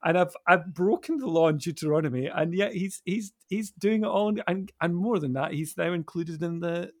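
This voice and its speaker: Man, podcast panellist, fairly high creaky voice, emphatic repetition, conversational. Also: moderate pace. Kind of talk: conversational discussion.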